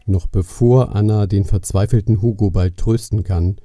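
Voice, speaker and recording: A man narrating a scripted children's story in a measured way, speaking directly to the young listener. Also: on the low side, fairly even intonation, no echo, clean studio sound. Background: soft plucked guitar music.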